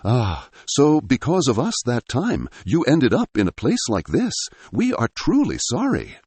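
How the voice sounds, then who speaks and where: A man's voice: mid-range voice, wildly swooping pitch, a little slow, a little mellow, slightly rough, no echo, voice pushed up, exaggerated voice. A man voicing a tired, practical stranded pilot in an audiobook adventure story. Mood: apologetic.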